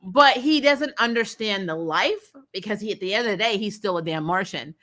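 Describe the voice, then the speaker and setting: A woman hosting a casual, opinionated TV recap, talking in an animated, exasperated way with swearing and frequent laughter. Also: medium pitch, moderate pace. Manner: acts out imagined dialogue. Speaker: host of a TV recap show. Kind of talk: casual opinionated review commentary.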